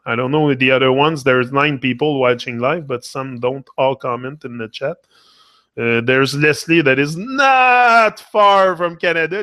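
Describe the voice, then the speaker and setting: A middle-aged man with a Québécois accent chats loosely on a live stream, hopping between French and English. He rambles and fills his talk with "là", and his voice sits at an ordinary pitch. The sound is dry and close, with no room echo. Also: sharp tone. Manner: rambling, talks to chat viewers by name, frequent "là" fillers. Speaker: livestream host.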